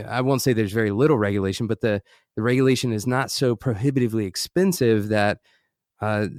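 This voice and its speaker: An American man hosting an interview podcast, speaking conversationally and haltingly, thinking aloud and rephrasing as he goes. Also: mid-range voice, a little mellow, no room sound, clean studio sound. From United States. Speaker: podcast host interviewing a guest. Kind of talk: conversational interview, long musing questions.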